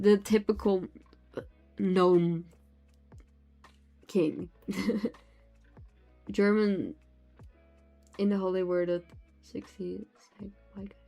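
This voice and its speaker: A woman's voice: mid-range voice, a little mellow. A young woman from the Netherlands with a Dutch accent, chatting casually with her stream while she draws, often laughing and trailing off mid-sentence. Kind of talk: casual chat with chat while drawing.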